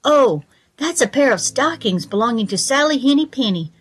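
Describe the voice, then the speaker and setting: A woman's voice: sits high, animated, normal speed, slightly rough, voice pushed up. A woman voicing a stout little hedgehog washerwoman from a children's storybook, anxious and deferential, with servile, rustic politeness. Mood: fussy, chatty. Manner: bobbing, servile politeness with rustic turns of phrase.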